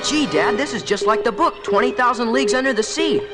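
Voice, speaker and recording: An eager young boy adventurer, speaks very high over background music. He is wide-eyed and excitable, with lively swings in pitch, in the style of a vintage adventure cartoon. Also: brisk. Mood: anxious, curious. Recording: music playing behind the voice.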